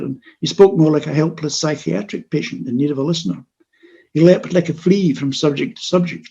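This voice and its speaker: Older man, author reading his memoir; gravelly, husky, fairly high, dull video-call sound. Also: mellow. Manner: measured, wry literary reading. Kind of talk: reading his own memoir prose aloud.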